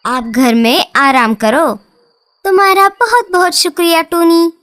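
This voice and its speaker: A woman voicing cartoon birds, speaking in a slow, sing-song storybook way. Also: very high voice, animated, fairly bright tone, soft background music. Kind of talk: cartoon dialogue acting.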